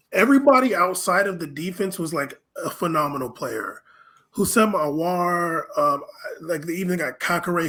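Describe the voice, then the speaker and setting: A man with a fairly high-pitched voice chats as a podcast pundit about sport. His phrasing is loose and halting, with plenty of casual filler as he thinks aloud. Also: a bit bright. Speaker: podcast co-host and soccer pundit.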